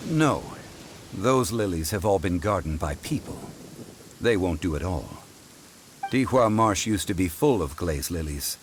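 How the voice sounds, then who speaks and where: A deep, dignified ancient sage. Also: male voice, lively intonation, noisy background. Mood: instructive. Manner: formal, measured, lecturing. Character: ancient, composed scholarly man, dignified and wise. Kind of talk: video game voice acting.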